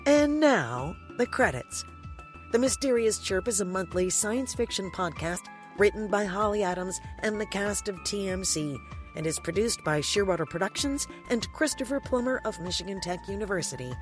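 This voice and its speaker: A woman's voice: mid-range voice, expressive, normal speed, faint music behind. A woman reading scripted closing credits as the announcer of a podcast.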